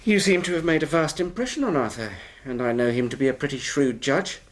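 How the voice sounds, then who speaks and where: A man acting in a period radio drama, playing a hearty, persuasive company recruiter and smooth swindler, with a British accent and grandiloquent manner. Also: a little high, brisk, close to an everyday voice. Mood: reassuring, businesslike. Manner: effusive, theatrical, grandiloquent.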